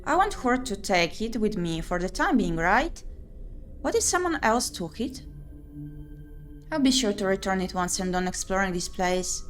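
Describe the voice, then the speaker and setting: A woman's voice: mid-range voice, very animated, normal speed, close to an everyday voice. Woman voicing an uneasy, sardonic young game heroine. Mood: earnest, puzzled. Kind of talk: visual novel character voicing. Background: game music.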